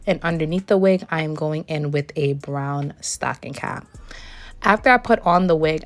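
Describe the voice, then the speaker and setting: A young woman with a low voice that is still a little hoarse narrates a beauty vlog. She explains each step of a wig install in a relaxed, friendly way. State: hoarse, recovering from losing her voice. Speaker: beauty vlogger reviewing a wig. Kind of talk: product review voice-over.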